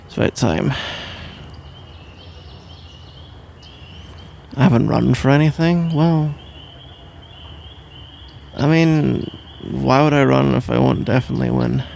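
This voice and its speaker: Man acting as a sleepy husband, gravelly fry, rambling banter, playful grumbling, ASMR roleplay, some background noise. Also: mid-range voice, normal speed, pauses often, darkish tone. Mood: groggy, confused. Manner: rambling, mock-indignant, bantering. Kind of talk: ASMR roleplay, improvised-sounding one-sided conversation.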